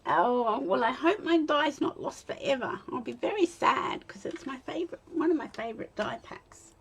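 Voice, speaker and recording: An Australian woman in her fifties, chatting and talking to herself while she demonstrates card making, with a slightly croaky voice. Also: high voice, animated, moderate pace. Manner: rambling, self-talk with soft laughs. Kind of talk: chatty crafting demo.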